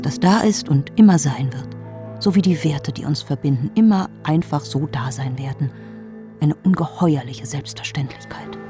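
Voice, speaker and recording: An actress playing a solemn ghost from the postwar past in a literary radio play, speaking in a measured, grave way. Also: female voice, sits low. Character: solemn ghost of 1948, lamenting forgotten ideals. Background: music.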